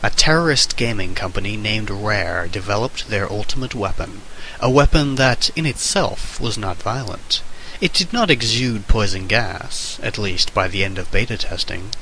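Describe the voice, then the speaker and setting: A man's voice: fairly low pitch, bright, forceful tone, low-quality recording. A man giving an amateur dramatic reading of a comic essay in a mock-serious, theatrical manner. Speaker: amateur reader. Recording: hissy amateur microphone recording.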